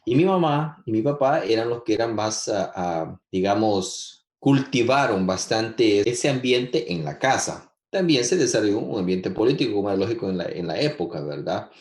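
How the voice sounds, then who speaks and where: A man from El Salvador, answering interview questions in a halting way, with many restarts and fillers. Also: fairly low pitch, lively intonation, reverberant. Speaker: guest composer.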